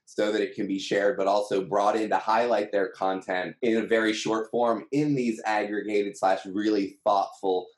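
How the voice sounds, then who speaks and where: Low-voiced man in an echoey room. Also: steady tone, moderate pace, hardly any pauses. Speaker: company team member being interviewed. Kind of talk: conversational explanation. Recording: roomy, echoing sound.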